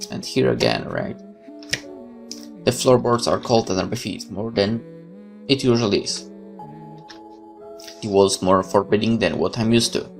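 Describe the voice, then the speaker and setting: A man's voice: mid-range voice, vocal fry. A man reading a horror visual novel aloud as a let's player, with casual commentary of his own.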